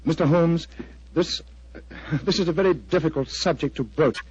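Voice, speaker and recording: A solemn, agitated clergyman, with a husky voice and halting, formal intensity. It is 1940s radio acting, heard dry and muffled. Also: fairly high pitch, no room sound. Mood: troubled. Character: agitated, earnest male clergyman, solemn and troubled.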